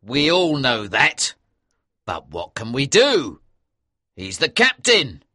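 Woman acting earnest male ship's officer, storybook, firm and respectful, lowered pitch, very slow. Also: huge pitch swings, bright, forceful tone, husky, exaggerated voice. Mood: firm, cautioning. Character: earnest, dutiful young male naval officer.

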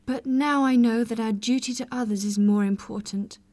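A man voicing a troubled young woman torn between love and duty, in a high pleading voice. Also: fairly even intonation, normal speed, a little mellow, dry recording. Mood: earnest.

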